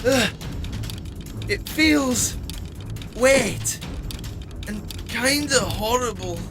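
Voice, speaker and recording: A woman's voice: on the high side, animated, very slow, over music. A woman voicing a small, timid, sickly robot, weak and scared, in a sci-fi audio drama. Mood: frightened. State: weak, frightened. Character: small, frightened, sick robot, childlike and timid.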